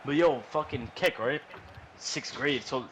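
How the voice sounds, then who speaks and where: A man rambles through a casual, profane story, slangy and animated, often repeating himself. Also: mid-range voice, a little slow. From New York. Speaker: podcast storyteller. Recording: noisy room recording.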